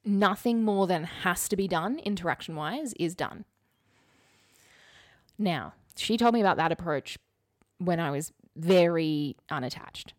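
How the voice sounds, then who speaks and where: A woman's voice: fairly low pitch, expressive, measured pace, no room sound, studio quality. A young woman co-hosting a podcast in casual conversation, candid and wry, repeating things for emphasis. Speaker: podcast co-host. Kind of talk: casual conversational chat and storytelling.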